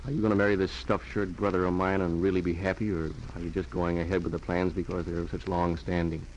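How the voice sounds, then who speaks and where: A man's voice: sits low, level tone, quick pace, darkish tone, lightly acted. An actor playing an easygoing, teasing idle young rich man in a 1940s radio drama. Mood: wry. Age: young adult. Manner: breezy, teasing. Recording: dull, hissy 1940s radio recording.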